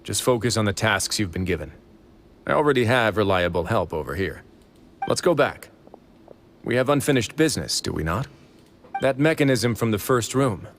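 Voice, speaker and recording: A man voicing a calm, authoritative male prison warden in a video game, measured and dry. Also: lowish voice, a little hiss or hum. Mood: commanding.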